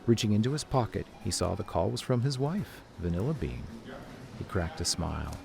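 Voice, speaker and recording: A man's voice: on the low side, very animated, moderate pace, gravelly, darkish tone, slight background noise. A man reading scripted story narration as the narrator of an audio drama. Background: music.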